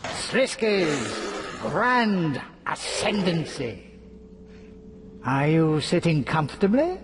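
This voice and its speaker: An actor playing a gleeful, mocking trickster villain in a video game, taunting with drawn-out theatrical sarcasm. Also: male voice, on the high side, big pitch swings, background noise, full character voice.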